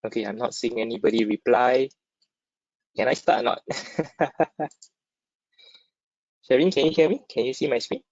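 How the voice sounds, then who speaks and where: A man's voice: mid-range voice, moderate pace, long pauses, a little mellow, no echo. Singaporean man presenting, muffled online audio. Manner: conversational, checks in with listeners using short tag questions. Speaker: webinar speaker, stock dealer. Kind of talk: informal interactive webinar presentation. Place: dry close online webinar. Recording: dull lo-fi online call audio.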